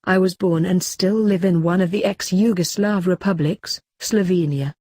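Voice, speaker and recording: A woman narrating forum posts aloud in an even, steady read with no fillers. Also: fairly low pitch, normal speed, dry recording, studio quality.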